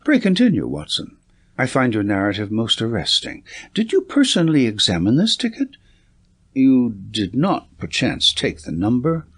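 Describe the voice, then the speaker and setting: A man's voice: mid-range voice, huge pitch swings, normal speed, husky. A man voicing a keen, severe master detective in an audiobook, clipped, commanding and precise. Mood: keenly interested. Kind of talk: audiobook character reading.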